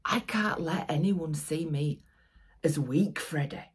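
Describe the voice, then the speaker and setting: An older woman playing a menacing, coldly contemptuous crime boss in a dramatic monologue, husky and deliberate, dropping to near-whispers. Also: deep voice, heavily acted. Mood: threatening. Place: small plain-walled room indoors.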